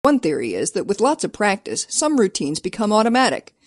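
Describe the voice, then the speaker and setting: A woman's voice: mid-range voice, very animated, a little fast, no room sound, clean studio sound. A woman reading scripted science narration in a conversational, rhetorical way.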